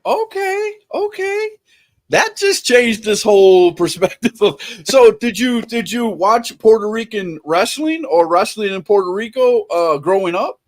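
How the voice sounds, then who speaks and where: A man's voice: high voice, big pitch swings, a little slow. A man hosting a casual online interview, reading out viewer questions, enthusiastic and repeating himself. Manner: enthusiastic, repeats words and restarts.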